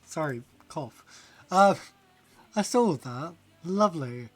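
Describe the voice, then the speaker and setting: A man's voice: on the high side, animated, takes it slowly, no room sound, soft background music. A man chatting casually on a game stream, rambling, laughing often and reading chat aloud. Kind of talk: casual live-stream chat while gaming.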